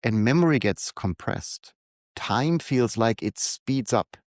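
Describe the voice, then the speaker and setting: A middle-aged man, speaking calmly and reflectively in a prepared monologue to his readers. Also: medium pitch, dry recording, studio quality. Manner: calm, unhurried, reflective. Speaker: newsletter author and coach.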